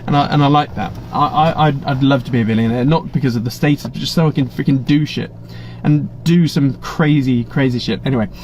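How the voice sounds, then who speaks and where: A man's voice: medium pitch, brisk, hardly any pauses, a little mellow, a little hiss or hum. An English man hosting a casual live stream, chatty and rambling, with blunt asides and many restarts. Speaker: livestream host. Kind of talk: casual live Q&A, reading viewer chat. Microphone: webcam setup. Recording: slightly muffled stream audio.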